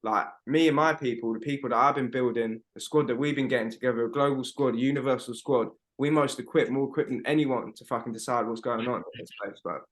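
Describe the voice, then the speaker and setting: A man's voice: medium pitch, fast, hardly any pauses, low-quality recording. Rambling, emphatic young man. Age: 20s. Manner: rambling, emphatic, swears a lot, fillers like "bro". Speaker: podcast co-host. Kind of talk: casual chat. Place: at home on a video call. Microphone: video call.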